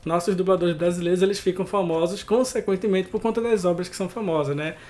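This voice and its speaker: A man's voice: high-pitched, fast talker, a little mellow. A man from Recife with a Pernambuco Brazilian Portuguese accent, a voice artist explaining his craft in an interview, conversational and often adding tag questions.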